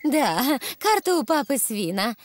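High-voiced sensible cartoon mummy pig. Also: female voice, sing-song, measured pace, no echo, exaggerated voice. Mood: practical, mildly exasperated. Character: sensible, gently teasing mother pig. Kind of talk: dubbed preschool cartoon acting.

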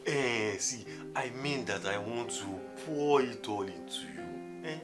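A sleazy Nigerian teacher. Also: male voice, medium pitch, expressive, slow pace, music in the background. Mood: coaxing. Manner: coaxing, repeats "eh?". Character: lecherous male teacher, smooth-talking and pushy. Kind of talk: film acting.

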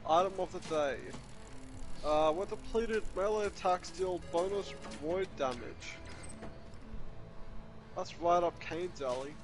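Slightly high male gamer, dry crude humor, clear game music underneath. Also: a little slow, pauses often. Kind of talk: casual co-op gaming chat.

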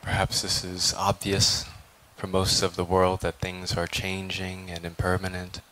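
Low, monotone man guiding meditation. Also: low-quality recording. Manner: calm, contemplative, deliberate with long pauses. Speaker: meditation teacher, Buddhist monk. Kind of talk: guided meditation instruction.

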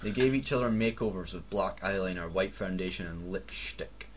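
A man's voice: low voice, moderate pace, slight background noise. A Scottish man reading fan fiction aloud mockingly, breaking off to swear and exclaim in casual banter. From Scotland. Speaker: reader and commentator.

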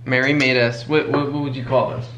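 A man's voice: medium pitch, brisk, lo-fi sound, slight background noise. A man in his thirties, a vlog co-host, chatting casually and a bit ramblingly at his kitchen table. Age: 30s. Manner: relaxed, rambling, restarts his sentences. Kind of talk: casual chat.

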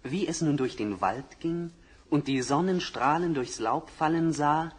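A man narrating a fairy tale for an old record, measured and expressive. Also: fairly high pitch, slightly rough. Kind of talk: storybook narration. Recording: vinyl record, faint hiss.

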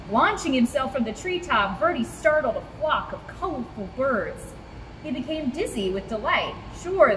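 A young woman reads a picture book to children in an expressive, fairly high voice. She is recorded a short way from the camera, with clear ambient noise around her. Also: long reverb tail. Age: young adult. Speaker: zoo staff storyteller. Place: in front of a rock wall at a zoo.

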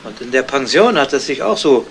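Male senior investigator, radio crime drama, ordinary mid register, measured and doubting, noisy vintage sound. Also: medium pitch, very animated, creaky. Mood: dry, sceptical. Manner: dry, probing, measured questioning. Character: dry, sceptical male police detective in charge. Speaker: actor. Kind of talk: 1970s German radio crime drama acting. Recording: noisy old 1970s radio play recording.

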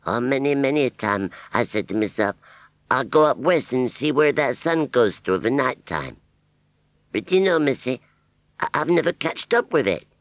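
A man's voice: medium pitch, sing-song, no echo, dull audio, voice pushed up, lightly acted. A man voicing a simple, bumbling male scarecrow in a storybook reading, speaking in a rustic country dialect and stammering as he goes. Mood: shy, friendly. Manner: slow, hesitant, stammering.

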